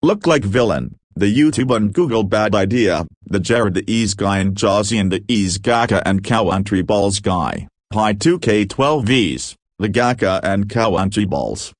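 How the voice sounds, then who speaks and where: A man's voice: fairly low pitch, lively intonation, measured pace, few pauses, no echo, studio quality. A man voicing a formal cartoon news anchor reading a scripted mock bulletin.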